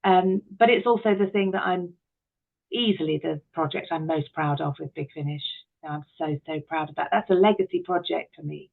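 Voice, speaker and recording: A woman's voice: low voice, brisk, gravelly, narrow, tinny audio. A middle-aged woman talking reflectively as an interview guest, earnest and emphatic as she thinks aloud. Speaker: interview guest, writer and producer.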